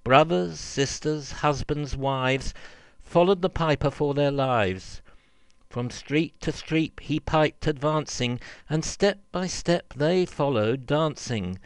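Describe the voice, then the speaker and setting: A man reading a children's verse story aloud in an expressive, theatrical and rhythmic way. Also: fairly high pitch, takes it slowly, clean tone, dry recording. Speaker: reader, storyteller.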